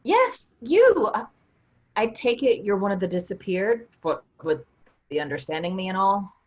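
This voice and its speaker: A woman acting in a staged play reading as an earnest, puzzled invisible sibling who gently encourages others, with a husky voice and dull lo-fi sound. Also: mid-range voice, big pitch swings, normal speed. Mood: eager, encouraging.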